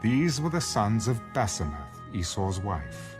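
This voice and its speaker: A man giving a formal, measured scripted narration of scripture, as in an audio Bible. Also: on the low side, sing-song. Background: background music.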